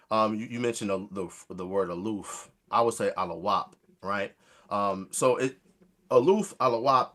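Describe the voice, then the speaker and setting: A man debating in a soft, hesitant way, often restarting his sentences. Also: lowish voice. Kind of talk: conversational debate.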